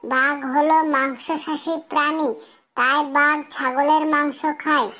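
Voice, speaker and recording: Someone doing a cartoon school kid boy, really high pitched, earnest, kinda monotone like reciting. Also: measured pace. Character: earnest schoolboy Poltu reciting memorized essays. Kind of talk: cartoon comedy dialogue.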